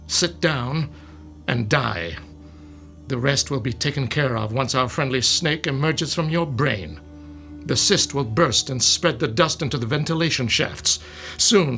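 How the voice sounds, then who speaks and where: A man playing a gloating, smug mad scientist villain in a radio drama, with soft laughs. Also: a little high.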